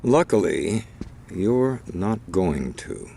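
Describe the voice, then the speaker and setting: A very low, gravelly villain for a video game, cold and cultured. He speaks slowly and deliberately, with condescending menace and swooping, sardonic inflection. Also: very animated, mellow, slight background noise, full character voice. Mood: smooth, scheming. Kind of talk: video game cutscene acting.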